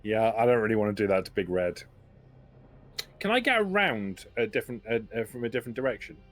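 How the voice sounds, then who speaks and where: A man's voice: lowish voice, very animated, quick pace, faint music behind. A man talking casually as a player in a tabletop role-playing game.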